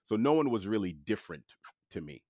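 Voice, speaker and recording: Deep-voiced New man, dry sound. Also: dry recording. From Queens, New York City. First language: French. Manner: relaxed, halting, with many fillers and restarts. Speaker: interview guest. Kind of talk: casual storytelling.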